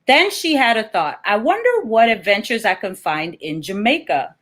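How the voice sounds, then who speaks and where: A woman's voice: fairly high pitch, very animated, moderate pace, fairly bright tone. Woman author chatting about her work. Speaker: guest children's book author. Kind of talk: reading aloud and explaining in an interview.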